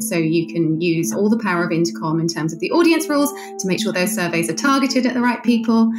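A woman's voice: fairly low pitch, echoey room. A woman being interviewed, explaining a product in a conversational way.

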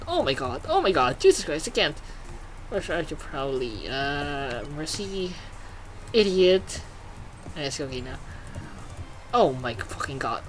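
An excitable gaming host giving casual commentary, exclaiming and swearing while reading game text aloud. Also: mid-range voice, a little hiss or hum, low-quality recording.

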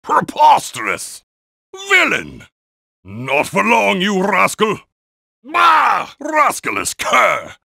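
A man playing a pompous, old-fashioned British gentleman sports car in a video game, with a plummy, theatrical, exclamatory delivery and a raspy voice. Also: high voice, huge pitch swings, takes it slowly, dry recording, clean studio sound, full character voice. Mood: indignant. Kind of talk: animated video game character barks.

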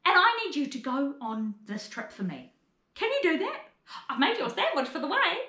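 High, bossy wicked stepmother. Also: very animated, moderate pace, sharp tone, exaggerated voice. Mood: exasperated. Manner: theatrical, bossy. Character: scheming, exasperated wicked stepmother of a fairy tale. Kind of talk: children's fairy tale performance. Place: small living room, on a sofa. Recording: roomy indoor echo.

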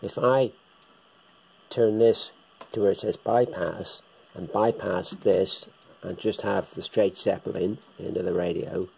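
A man giving a casual, hands-on equipment demonstration as a hobbyist presenter, talking unhurriedly in short, halting bursts. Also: low-pitched, steady tone, vocal fry, warm tone, breathy edge, poor audio. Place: indoors, room with radio gear on a shelf.

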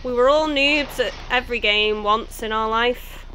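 Streamer: young woman, bright and chatty, a touch high, with faint game music under her. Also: a little slow, a little hiss or hum. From England, near Chester. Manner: chatty, laughs easily, answers chat. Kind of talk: casual chat with live chat viewers.

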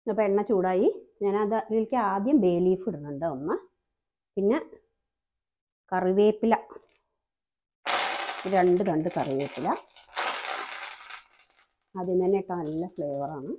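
A woman's voice: lowish voice, expressive, a little slow, long pauses, lo-fi sound. Chatty woman explaining a recipe. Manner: chatty, explains each step, frequent tag questions. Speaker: cooking channel host. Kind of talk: cooking demonstration voice-over.

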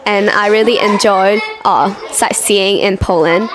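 A woman's voice: mid-range voice, fairly even intonation, measured pace, low-quality recording. Young woman, cheerful, fluent, handheld mic in a classroom. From Hong Kong. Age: young adult. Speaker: foreign volunteer interviewee. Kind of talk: interview answers. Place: classroom among children, indoors. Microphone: handheld reporter mic.

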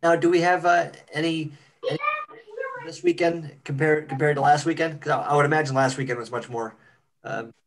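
A man's voice: fairly high pitch, steady tone, brisk. A man talking casually as a cast member in a group video call. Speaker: cast member of an amateur radio play. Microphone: computer on a video call.

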